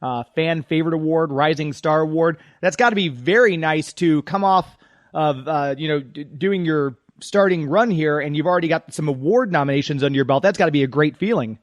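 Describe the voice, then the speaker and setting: A male radio host with a fairly high voice and a close, dry sound. He speaks in an upbeat interviewing style, introducing and questioning guests. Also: a little fast, dry recording.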